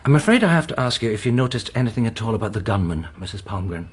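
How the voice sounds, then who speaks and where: An actor playing a polite, probing police inspector in a radio drama, asking questions with courteous hesitation. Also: male voice, fairly low pitch, sing-song, racing, slightly rough.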